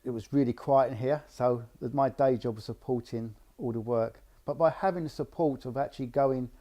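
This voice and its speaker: A man who runs a coffee shop, talking casually in an interview in a halting way, with restarts and ums. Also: mid-range voice, mellow.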